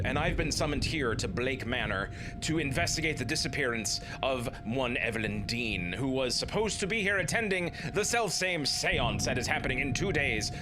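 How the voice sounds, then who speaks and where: A man in his thirties hosting a let's play, joking and commenting casually as he plays. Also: mid-range voice. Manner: playful, jokey, slips into silly voices.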